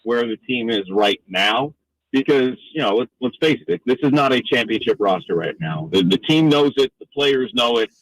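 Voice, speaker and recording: A man's voice: medium pitch, lively intonation, normal speed, no room sound, poor audio. A man from the Northeastern United States, a sports announcer, talking conversationally and wordily as a radio interview guest.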